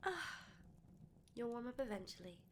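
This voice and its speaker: A woman's voice: on the high side, very animated, moderate pace, slight background noise. Whispery, teasing vampire captor played by a woman. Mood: playful, taunting. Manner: whispery, leisurely, playfully taunting. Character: teasing, menacing vampire captor, formerly a doctor. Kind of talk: ASMR roleplay, close and intimate.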